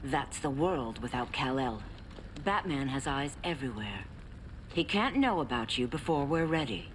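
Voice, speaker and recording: An actress playing a stern, protective, resolute warrior mentor in a video game cutscene. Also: female voice, very deep voice, animated, moderate pace, slight background noise.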